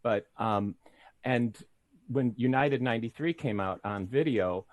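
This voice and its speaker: A man's voice: medium pitch, unhurried, dry recording, phone-quality sound. A man chatting casually as a panelist, in an informal, anecdotal way. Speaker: panelist, thriller author. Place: indoors on a video call.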